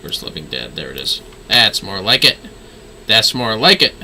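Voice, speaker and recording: A man's voice: lowish voice, big pitch swings, normal speed, bright, forceful tone, a little hiss or hum. A man livestreaming a game with casual, playful commentary, often talking to himself and muttering skill names.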